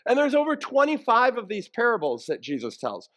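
A man's voice: extremely high pitch, huge pitch swings, a little fast, fairly bright tone. A man preaching a sermon as a pastor, explaining things in a plain-spoken, conversational way.

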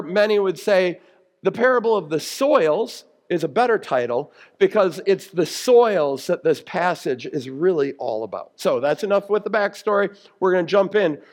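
High-pitched man preaching a conversational sermon. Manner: explanatory, plain-spoken teaching with everyday examples. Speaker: pastor preaching.

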